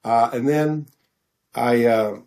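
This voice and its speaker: A middle-aged American man answering questions in a casual interview, halting and self-deprecating as he searches for his words. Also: medium pitch, a little mellow. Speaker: author interview guest.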